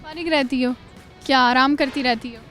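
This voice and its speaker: A young woman with a high voice runs a playful interview at a college, firing quick teasing follow-ups. Faint music plays under her voice. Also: fairly even intonation, fairly bright tone. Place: college campus.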